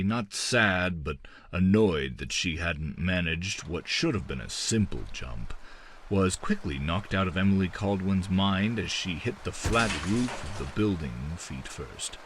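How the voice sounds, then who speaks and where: A man reading an audiobook as dramatic scripted narration, in a measured, theatrical manner. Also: deep voice, husky. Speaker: audiobook reader.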